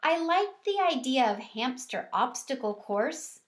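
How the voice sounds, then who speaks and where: A woman voices a curious young pupil in a children's story. The voice is raised a little, eager and questioning, with unhurried words. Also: high-pitched, big pitch swings, voice pushed up.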